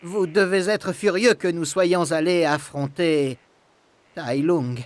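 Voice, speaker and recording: An actor playing an earnest, respectful young martial arts student in a game. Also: male voice, fairly high pitch, takes it slowly, no room sound.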